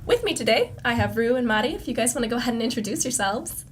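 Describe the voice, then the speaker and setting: A woman's voice: on the high side, animated, fast, slight background noise. A young woman from the USA hosting a live student panel, upbeat and welcoming. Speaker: host.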